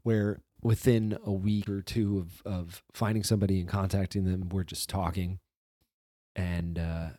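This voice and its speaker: Low, mellow-voiced man, slightly hoarse. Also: flat delivery, unhurried, dry recording, clean studio sound. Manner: rambling, restarts often, swears casually. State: voice recovering from flu, hoarse. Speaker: podcast host. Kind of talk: casual solo intro monologue.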